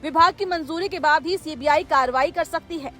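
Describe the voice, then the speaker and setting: High-pitched woman reading news over music. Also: fairly quick, pushed and bright. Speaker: news presenter. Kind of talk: scripted news narration. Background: background music.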